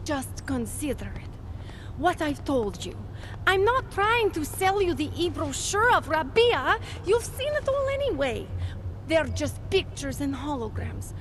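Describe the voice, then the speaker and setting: Game role: female company rep, earnest and persuasive, high register, lively swooping intonation, true-believer polish. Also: unhurried, a little hiss or hum, exaggerated voice, poor audio. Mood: sincere appeal. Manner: fervent, polished sales pitch delivery. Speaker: company representative. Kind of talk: video game dialogue acting.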